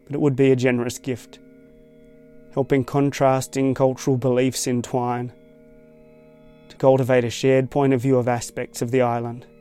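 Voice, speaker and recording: An Australian man narrates a reflective essay in a measured, thoughtful way. His voice is mellow and smooth, and the delivery stays flat and even. Faint guitar music plays behind him. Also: mid-range voice. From Tasmania, Australia.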